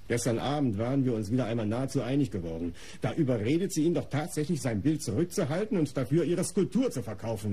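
An art patron in a radio crime play, defensive and disdainful under questioning. His voice is lowish, dark and very raspy. He justifies himself in formal, articulate sentences. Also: heavily acted. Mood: defensive, indignant. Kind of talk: German radio crime drama acting.

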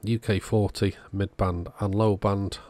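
A man's voice: fairly low pitch, flat delivery, unhurried, a little mellow. A man narrating a repair job in a casual, matter-of-fact, understated way. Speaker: host of electronics repair channel.

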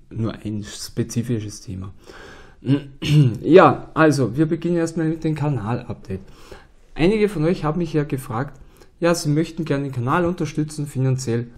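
A man in his thirties with an Austrian accent and a cold, chatting to camera as a board game channel host in a small room at home. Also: medium pitch. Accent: Austrian German. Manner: relaxed and chatty, with many filler "ja"s and "ähm"s. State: has a cold. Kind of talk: casual talk to camera.

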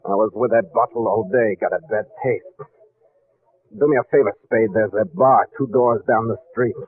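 An actor playing a touchy, guarded racketeer with clipped tough talk in a 1940s radio crime drama. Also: male voice, on the low side, moderate pace, slightly rough. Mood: wary, guarded. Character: terse, wary male racketeer, touchy and guarded. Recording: tinny vintage 1940s radio broadcast.

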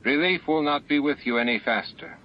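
A man's voice: fairly high pitch, a little fast, pitched above the performer's own voice, lightly acted. A man voicing a grave, formal fleet admiral in a science fiction audiobook, firm and earnest. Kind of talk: audiobook character dialogue. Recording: muffled lo-fi audiobook with music.

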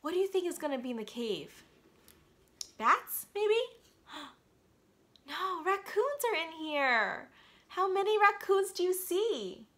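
Woman in her thirties, bright high voice, slow pace, children's picture-book reading, questioning and animated. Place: small quiet room at home.

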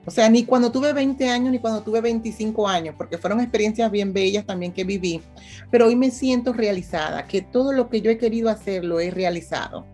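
Venezuelan lady, about fifty, chatty interview guest, keeps asking "ves?", soft music way back behind her. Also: mid-range voice, a little fast. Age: 50s. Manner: animated, often checks in with "¿ves?". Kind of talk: casual conversational interview.

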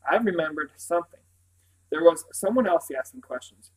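A man voicing an observant, anxious little boy in an audiobook, with a small clear voice and an earnest, hesitant manner. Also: fairly high pitch, lively intonation, normal speed, a little mellow, lightly acted, poor audio. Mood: thoughtful. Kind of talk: audiobook character voice.

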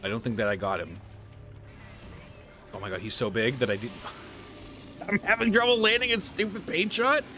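A man's voice: medium pitch, normal speed, pauses often, bright, forceful tone, faint music behind. A man in his thirties chatting casually while playing a video game at home, a little stuffy-nosed and rambling. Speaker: gaming streamer. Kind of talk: casual gameplay commentary. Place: home room, seated at desk.

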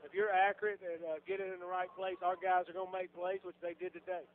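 A male football coach talks fast in a rather high voice. He is plain-spoken and doubles back on phrases as he answers in a post-game interview. The radio recording is thin and muffled. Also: level tone. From Georgia, USA. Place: locker room.

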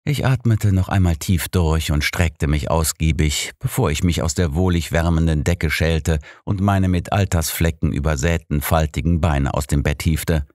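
A man's voice: a bass voice, normal speed, few pauses, darkish tone, dry recording, studio quality. A man narrating a story in a scripted audiobook read.

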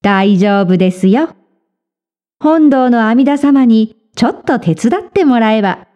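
Woman as a high-voiced cheeky boy monk. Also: animated, a little slow, dark tone, highs cut off. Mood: confident. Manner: feigned innocence, playful. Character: clever, cheeky young boy novice monk.

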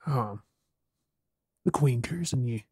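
A man's voice: medium pitch, lively intonation, slow, darkish tone, husky, no echo, studio quality. A man with an Australian accent playing a troubled, earnest werewolf boyfriend in an ASMR audio drama, hesitant yet intense. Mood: uneasy.

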